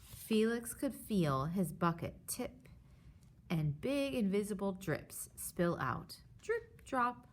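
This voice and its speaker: A woman reading a children's picture book aloud in an expressive, dramatized way. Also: mid-range voice, slow. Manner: animated, dramatizes sound effects and dialogue. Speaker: storybook reader.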